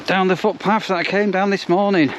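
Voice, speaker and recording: A man's voice: high voice, steady tone, fairly quick, slight background noise, low-quality recording. A man with an English accent talking casually in a rambling way, as if narrating his day for a vlog. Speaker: vlogger. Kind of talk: casual vlog chat to camera.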